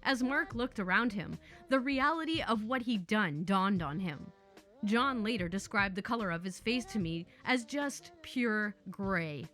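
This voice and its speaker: Bright, lively female story reader. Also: mid-range voice, a little slow, faint music behind. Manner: playful, chatty asides. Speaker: story narrator. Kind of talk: reading online stories aloud.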